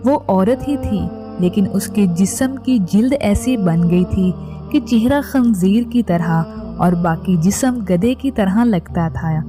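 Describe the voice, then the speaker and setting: Female narrator for scripted religious storytelling: mid-pitched, measured and solemn, set over clearly audible music.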